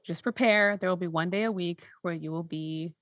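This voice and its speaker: A woman's voice: lowish voice, moderate pace, fairly bright tone, no room sound. A woman in her thirties chatting casually and candidly as a podcast host. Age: 30s. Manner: candid, informal. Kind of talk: casual chat.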